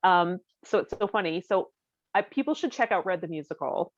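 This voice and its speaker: A woman's voice: on the low side, lively intonation, brisk, dry recording, highs cut off. A woman co-hosting a podcast, sharing personal stories in a casual, candid, conversational way. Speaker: podcast co-host. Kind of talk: casual chat, personal anecdotes.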